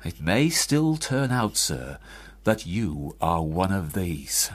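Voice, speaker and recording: Stern older father for period radio drama: husky, sarcastic, swooping emphatically between phrases. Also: low voice, big pitch swings, slow pace, heavily acted. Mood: reproachful. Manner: formal, biting, rhetorical. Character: stern, sarcastic parson father scolding his failed adult son.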